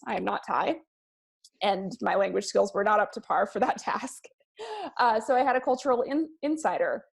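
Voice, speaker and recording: A woman giving a presentation on research methods, speaking in a measured way with frequent ums and slowing down to stress key words. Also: mid-range voice, creaky. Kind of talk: presentation to a class.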